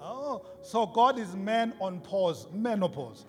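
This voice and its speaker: A man's voice: sits high, expressive, slow pace, darkish tone. A man preaching an impassioned sermon in an emphatic, repetitive, rhetorical style. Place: large reverberant indoor worship space. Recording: hall reverb with music behind.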